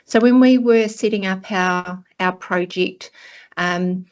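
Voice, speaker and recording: A woman from New Zealand with a New Zealand accent, a research director giving a formal webinar presentation in a measured, steady way. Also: medium pitch. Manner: measured, steady delivery opening with a Māori chant and greeting. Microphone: video call. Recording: dull lo-fi video call audio.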